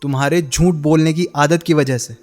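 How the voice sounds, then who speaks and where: A man's voice: fairly high pitch, steady tone, moderate pace, a little mellow, faint music behind, natural voice. An actor as a reserved, principled man. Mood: bitter, accusing. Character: reserved, principled male interviewer, quietly wounded former lover. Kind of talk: radio drama acting.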